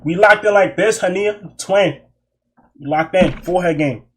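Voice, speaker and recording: A young man streaming, reacting loudly with laughs and profane slang. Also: high-pitched, normal speed, a little hiss or hum. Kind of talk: loud reaction commentary.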